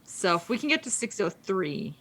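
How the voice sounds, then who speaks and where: A woman co-hosting a casual podcast, chatting quickly with lots of fillers and restarts. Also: mid-range voice, sing-song, a bit bright, low-quality recording. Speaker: co-host. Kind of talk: casual gaming podcast chat.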